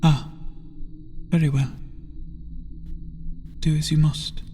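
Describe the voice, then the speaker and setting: A man playing a gentle, guilt-ridden lantern bearer in a fantasy video game, speaking softly in old-fashioned phrasing, half-whispered, hesitant and solemn. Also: a little high, little pitch movement, slow pace, slight background noise. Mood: gentle concern. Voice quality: breathy, husky, half-whispered. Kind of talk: soft-spoken video game NPC dialogue, theatrical archaic phrasing.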